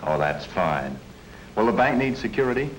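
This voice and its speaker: Earnest, low-voiced 1940s small businessman. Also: very animated. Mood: earnest, polite. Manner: polite, earnest, deliberate. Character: earnest, polite male aspiring hardware store owner. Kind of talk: 1940s educational film acting. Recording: noisy 1940s film soundtrack.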